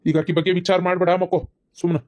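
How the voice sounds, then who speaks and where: A man's voice: high voice, level tone, very fast, a little mellow, lightly acted. A man voicing a stern, proud grandfather in a cartoon family drama, scolding and dismissive, with a North Karnataka accent. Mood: stern, bitter. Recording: dull, muffled lo-fi sound.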